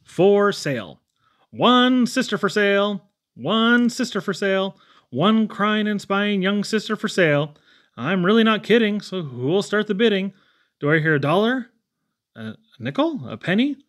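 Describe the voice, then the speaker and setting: A man reads children's verse in a playful, theatrical style. His voice is high for a man. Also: sing-song, unhurried, fairly bright tone. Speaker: poetry reader. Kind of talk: playful children's poetry reading.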